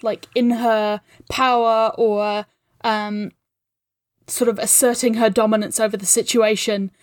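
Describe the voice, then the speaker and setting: A woman gives casual film analysis as a podcast guest. Her voice is a little higher than average and sounds dry, with no room echo. She speaks thoughtfully and haltingly, feeling for the right words. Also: level tone.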